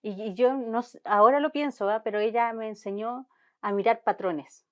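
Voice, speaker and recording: A woman's voice: a little high, steady tone, normal speed, fairly bright tone, lo-fi sound. A Chilean woman marine biologist, speaking thoughtfully in an interview and often restarting as she searches for words. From Chilean Patagonia. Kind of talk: conversational interview.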